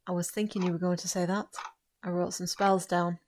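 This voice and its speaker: An actress plays a composed young witch and spell tutor in an audio drama. Her voice is fairly low, firm and formally instructive, with faint noise in the recording. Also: level tone, brisk, a little mellow, close to an everyday voice. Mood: reassuring.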